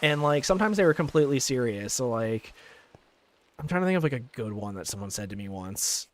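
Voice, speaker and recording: A man's voice: medium pitch, expressive, a little fast. A young Canadian man chatting casually on a live game stream, rambling through stories with lots of fillers and reading chat messages aloud. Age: young adult.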